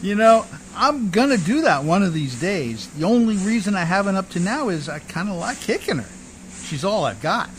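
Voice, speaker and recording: A man acting in a radio play as a rough, good-natured, hard-drinking Canadian riverboat skipper with a folksy, deferential, ungrammatical drawl. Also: sits high, sing-song, normal speed, slight background noise, low-quality recording. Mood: sheepish, folksy.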